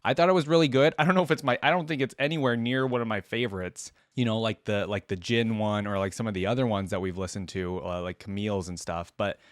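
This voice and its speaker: A man's voice: on the low side, fairly even intonation, quick pace, hardly any pauses, no room sound, clean studio sound. A man hosting a reaction video, giving casual, informal off-the-cuff commentary and thinking aloud as he goes. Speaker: video host reacting to game content.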